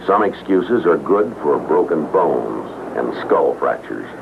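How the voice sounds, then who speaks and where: Very deep, gravelly male announcer. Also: sing-song, slow. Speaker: public service announcement narrator. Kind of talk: vintage scripted safety announcement narration. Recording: muffled lo-fi old broadcast, faint hiss.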